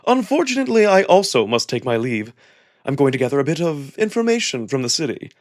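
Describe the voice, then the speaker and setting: A man voicing a measured, diplomatic jackal nobleman from a visual novel, wary and deliberate in his formal phrasing. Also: on the high side, big pitch swings. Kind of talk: visual novel character reading.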